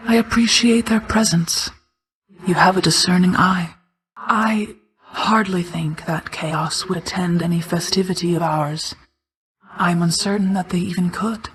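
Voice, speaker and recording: A woman playing an ancient, regal mother goddess of night in a video game, speaking in a formal, measured, restrained way, hushed at times. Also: lowish voice, natural voice, poor audio. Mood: gently grateful, then hesitant, subdued. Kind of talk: scripted video game character acting.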